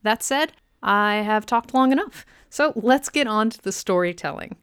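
A woman's voice: mid-range voice, lively intonation, moderate pace, a bit bright, clear, resonant tone, no echo, studio quality. A woman hosting a radio show, giving a scripted introduction.

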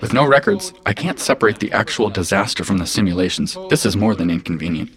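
A man's voice: on the low side, expressive, quick pace, fairly bright tone. An actor playing an earnest artificial intelligence in an audio drama, logging his thoughts in precise, formal phrases. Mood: troubled. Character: earnest male artificial intelligence caring for a Mars colony family. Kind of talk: audio drama personal log narration.

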